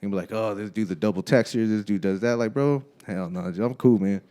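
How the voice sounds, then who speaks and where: Fast-talking laid-back man in his thirties. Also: fairly low pitch, dark tone. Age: 30s. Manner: laid-back slangy talk, says bro a lot; laughs often. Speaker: podcast co-host. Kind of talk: casual chat.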